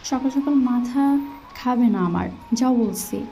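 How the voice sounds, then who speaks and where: A woman's voice: fairly high pitch, measured pace, gravelly, dark tone. A woman playing a suspicious, scolding young newlywed wife with a tender side, in an audio love story. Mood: pouting, chiding. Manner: pouting, questioning, chiding. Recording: music bed behind the voice.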